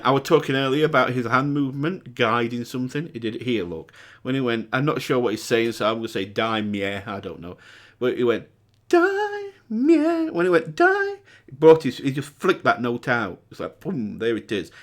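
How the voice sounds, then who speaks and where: A man with a cold and a croaky voice, hosting a music reaction video with casual, excitable commentary that jumps to high exclamations. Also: brisk. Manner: excitable, breaks into high exclamations and sung demonstrations. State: hoarse from a cold. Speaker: music reaction channel host.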